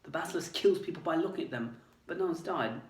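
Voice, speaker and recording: A man's voice: on the high side, animated, quick pace, voice pushed up, lightly acted. A man doing an urgent, earnest teenage boy. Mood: excited. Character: quick-thinking teenage schoolboy, earnest and urgent. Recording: roomy, distant mic.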